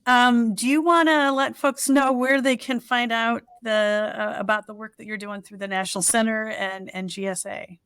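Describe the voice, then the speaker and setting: A woman's voice: fairly high pitch, fairly even intonation, moderate pace, few pauses, fairly bright tone, clean tone. A woman in her sixties hosting an interview over a video call from home, upbeat and encouraging as she asks her guest set questions. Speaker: podcast host. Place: home room with pictures on the wall.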